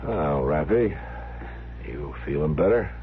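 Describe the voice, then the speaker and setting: An old radio western marshal, calm and firm, really low voice, noisy old recording. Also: male voice, takes it slowly, phone-quality sound, full character voice. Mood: calm, reassuring. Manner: laconic, plain-spoken. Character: calm, firm frontier marshal, grown man. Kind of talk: 1950s western radio drama acting.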